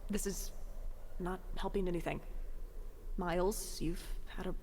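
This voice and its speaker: A woman's voice: lowish voice, fairly even intonation, a little slow, warm tone. A woman playing a guarded, weary, casually curt commander in a science fiction audio drama. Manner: curt, deflecting. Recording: long reverb, faint noise.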